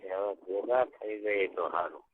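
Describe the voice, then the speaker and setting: A man's voice: fairly low pitch, a little slow, a little hiss or hum. A man chatting casually and privately on a phone call. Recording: muffled tinny phone call recording.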